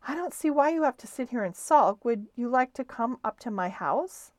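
A woman voicing a practical, cheerful young girl with a brisk, matter-of-fact way of talking. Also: a little high, expressive, no room sound, clean studio sound, pitched above the performer's own voice, natural voice.

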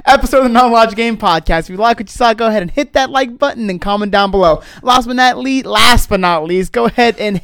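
A man with a notably high voice hosts a casual podcast in a dry-sounding space. He is playful and slangy, often doubling back to repeat a phrase. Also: quick pace, few pauses, a bit bright, clear, resonant tone, no room sound. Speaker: podcast host. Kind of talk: casual chat.

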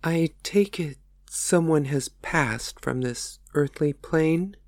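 A woman reading aloud, voicing a gentle, compassionate male frontier pastor in a soft, calm, reassuring way. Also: a bass voice, moderate pace, dry recording, clean studio sound, voice pushed down. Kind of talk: reading her own novel aloud.

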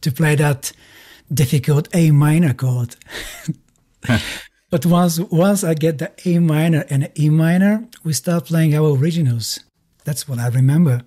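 A middle-aged man with a Bulgarian accent, speaking haltingly in non-native English as he tells his story in a casual interview. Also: fairly high pitch, mellow. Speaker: musician being interviewed.

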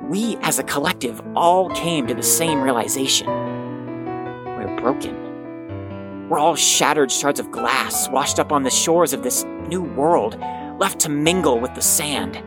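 A man's voice: mid-range voice, lively intonation, takes it slowly. Anxious, eloquent young heir, acted by a man, over music. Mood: earnest, reflective. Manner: eloquent, wordy, introspective. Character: anxious, self-critical young heir of a pharmaceutical dynasty. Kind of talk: dramatic monologue in a horror audio drama.

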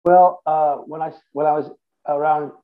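A man's voice: fairly high pitch, steady tone, measured pace. A man in his fifties from the Boston area, telling stories as an interview guest in a rambling, casual way with many restarts. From Boston area, USA. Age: 50s. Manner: rambling storytelling with many restarts and "you know". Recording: tinny phone-like line.

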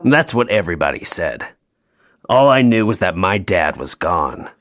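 An actor playing a jaded, sardonic male elf toymaker in an audio play, deadpan, dry and downbeat. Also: low-pitched, moderate pace. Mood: bitter, wounded.

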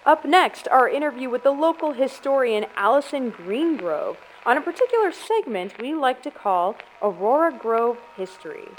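A woman's voice: high voice, very animated, a little hiss or hum, low-quality recording. A woman acting a composed public radio host reading a formal, scripted news report in an audio drama. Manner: measured, formal, announcer-like.

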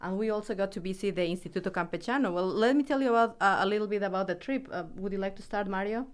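A woman's voice: mid-range voice, fast talker, clean tone. A woman from Argentina and Bolivia, a native Spanish speaker, talking casually as an academic on a podcast.

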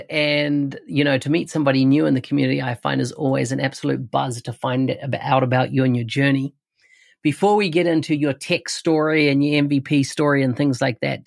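A man's voice: a little high, gravelly. Upbeat male podcast host. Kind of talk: podcast interview.

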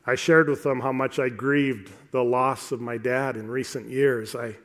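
A middle-aged man preaching a sermon to a congregation in an earnest, emphatic way, repeating phrases deliberately for effect. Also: medium pitch, steady tone, a bit bright.

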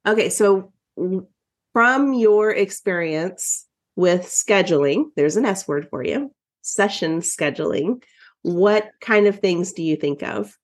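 This woman has a stuffy, nasal voice that sits a little low for her, and she talks in a loose, chatty way. She is a therapist co-hosting a podcast, and she often trails off partway through a thought. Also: lively intonation, takes it slowly, soft background music. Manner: chatty, self-deprecating, trails off mid-sentence. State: congested, recovering from covid. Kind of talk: casual chat.

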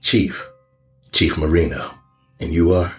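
An actor plays a middle-aged small-town police chief in an audio drama. His voice is deep, his manner folksy and probing, with faint music behind. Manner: folksy, unhurried questioning.

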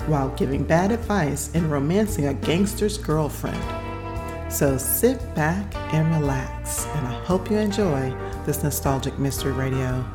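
A woman's voice: sits low, animated, measured pace. A woman podcast host reading a scripted introduction. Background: music.

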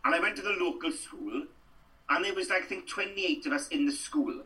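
A man's voice: on the high side, expressive, a little fast, pushed and bright, far from the mic, low-quality recording. A middle-aged Welsh man from Wales, an actor chatting animatedly in an interview and rambling through stories with lots of restarts. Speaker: actor and guest.

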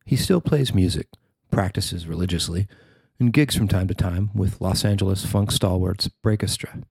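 A man narrating a newspaper feature he wrote, in a measured, even reading. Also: deep voice, gravelly, slightly rough, no echo. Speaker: newspaper columnist reading his story. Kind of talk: scripted narration.

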